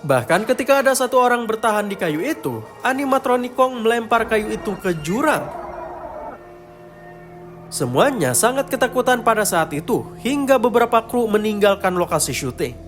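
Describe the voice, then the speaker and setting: A man's voice: very high-pitched, a bit bright. A man narrating a scripted horror story. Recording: music behind the voice. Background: clear background music.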